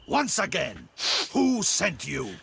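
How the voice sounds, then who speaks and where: An actor playing a stern, dutiful guard captain in a fantasy video game. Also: male voice, fairly high pitch, wildly swooping pitch, slow, gravelly, a bit bright, a little hiss or hum, full character voice. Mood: stern demanding. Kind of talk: dramatic video game acting.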